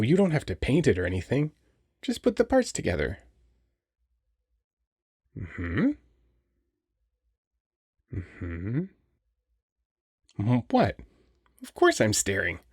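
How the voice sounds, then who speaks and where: A man's voice: medium pitch, gravelly, husky, no room sound, clean studio sound, exaggerated voice. A man playing a cheerful, flirty Christmas elf toymaker in a romantic audio roleplay, chatty and teasing with the listener. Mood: playful, teasing. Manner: playful, teasing, bubbly, chatty.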